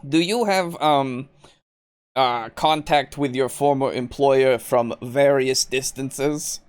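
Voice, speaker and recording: A man playing a tabletop roleplaying game, chatting casually and haltingly as he thinks aloud. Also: medium pitch, clean tone. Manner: halting, thinking aloud while checking things. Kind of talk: casual game-session chat.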